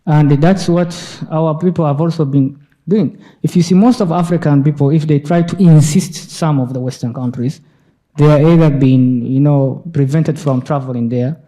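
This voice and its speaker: A man from Somalia speaks up from the audience at a lecture. His voice sits a little high yet sounds very dark and mellow. He talks haltingly, with many fillers and restarts. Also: fairly even intonation, poor audio. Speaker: audience member asking a question. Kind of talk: lecture Q&A comment.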